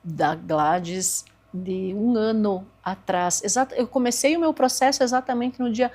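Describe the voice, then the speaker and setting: A woman's voice: medium pitch, measured pace, a little mellow. A woman in her forties, a podcast guest earnestly telling her own story and acting out past conversations. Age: 40s. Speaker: podcast guest, therapist and speaker.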